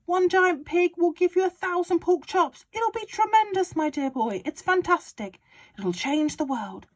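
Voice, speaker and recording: A woman doing a shouting overexcited father. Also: very high voice, expressive, moderate pace, voice pushed up. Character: excitable bossy father, middle-aged man.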